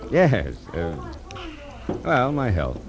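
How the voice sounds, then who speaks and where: An American actor playing a world-weary, sardonic private detective in a 1940s radio drama, deadpan and clipped. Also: male voice, deep voice, drawn-out words, noisy background, heavily acted. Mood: blunt, sardonic. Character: wry, world-weary male private detective, blunt and cynical. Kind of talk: 1940s radio acting, first-person noir narration. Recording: vintage 1940s radio, music behind.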